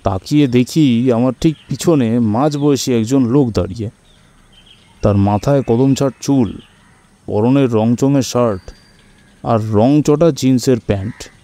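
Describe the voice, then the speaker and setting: Man acting chatty kite enthusiast with a very mellow voice. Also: mid-range voice, close to an everyday voice. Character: Fatikbabu, keen kite-flying man, new tenant, earnest and proud. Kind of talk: radio audio-story acting, conversational storytelling.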